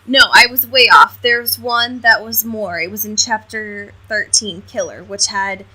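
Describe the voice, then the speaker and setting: A woman's voice: mid-range voice, steady tone, measured pace, sharp tone, rough recording. A woman co-hosting a podcast, casually discussing a book in a wry, informal way. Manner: wry, informal, peppered with mild swearing. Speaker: podcast co-host.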